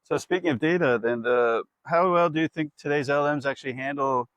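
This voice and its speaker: A man's voice: fairly high pitch, a little fast, fairly bright tone, no room sound, highs cut off. A middle-aged man with an American accent, hosting an interview and asking long, curious questions while often affirming his guest. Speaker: podcast host. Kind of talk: conversational interview.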